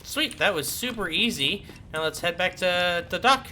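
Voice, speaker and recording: A man's voice: high voice, animated, normal speed, sharp tone, slight background noise. A man giving casual let's-play commentary as he hosts a gaming video.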